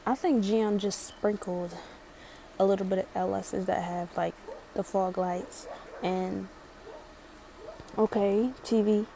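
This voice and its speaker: A woman's voice: mid-range voice, steady tone, slow pace, gravelly, pauses often, background noise. A chatty young woman from Maryland with a light Baltimore accent, rambling sassily through a casual storytime. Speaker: vlogger.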